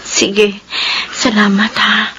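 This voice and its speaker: A woman's voice: medium pitch, monotone, takes it slowly, pushed and bright, dull audio, slight background noise. A woman acting a young, forgiving daughter in a Filipino radio drama, speaking respectfully and earnestly. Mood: soft, reflective. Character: gentle, forgiving young daughter and sister, female.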